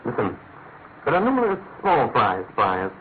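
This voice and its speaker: A British male detective in a classic radio mystery, brisk, probing and sure of his authority. His pitch moves in lively swings through clipped, deliberate questions. The sound is narrow as a telephone, with a faint layer of noise. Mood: brisk, keen. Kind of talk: classic radio drama acting. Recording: noisy, narrow-band old radio recording.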